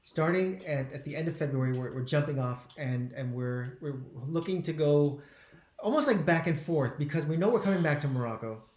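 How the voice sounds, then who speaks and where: A man's voice: on the high side, expressive, a little mellow, reverberant. A man in his forties co-hosting a casual live stream, often repeating words as he works out what to say. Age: 40s. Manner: halting, repeats words while finding his thought. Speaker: travel channel co-host.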